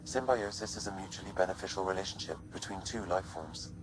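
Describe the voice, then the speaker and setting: A man voicing a calm, measured artificial intelligence companion in a video game, speaking with precise, formal phrasing. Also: low-pitched, background noise, lightly acted. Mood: calm, explanatory. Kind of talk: scripted video game dialogue. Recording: music behind the voice.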